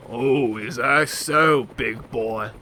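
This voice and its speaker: An actor playing a cocky, threatening gangland enforcer in a radio drama, with a taunting manner. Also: male voice, mid-range voice, drawn-out words, bright, forceful tone, a little hiss or hum, exaggerated voice.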